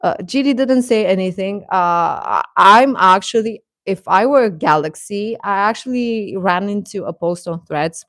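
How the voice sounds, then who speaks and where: A woman hosting a livestream, giving casual fan commentary to her chat in a rambling, halting, emphatic way that repeats points. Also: mid-range voice, a bit bright, dry recording, studio quality. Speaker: livestream host.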